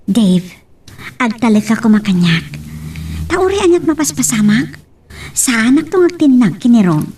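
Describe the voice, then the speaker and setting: Woman as gentle young girlfriend, Ilocano accent, high pitch, radio drama, slightly distant sound. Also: big pitch swings, moderate pace, pauses often, breathy edge. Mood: supportive, pleading. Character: gentle young sweetheart from a well-off family.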